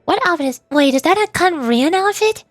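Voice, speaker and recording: A woman's voice: extremely high pitch, lively intonation, fairly quick, dry recording. A woman VTuber giving casual commentary on a game stream into a close microphone.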